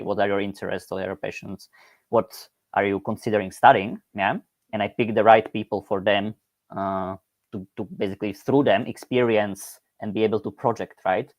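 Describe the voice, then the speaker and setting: A man from Eastern Slovakia, speaking over a video call in a thoughtful, academic way, often pausing on "uh" and tagging points with "right?". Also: on the low side, no room sound. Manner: hesitant, many "uh" fillers and "right?" tags.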